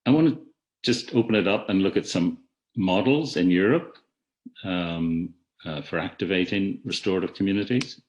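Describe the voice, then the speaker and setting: Very deep-voiced man giving a measured academic talk. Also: rough recording. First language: not an Irish speaker. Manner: measured, reflective, with frequent restarts and trailing off. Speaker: webinar speaker. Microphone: video call.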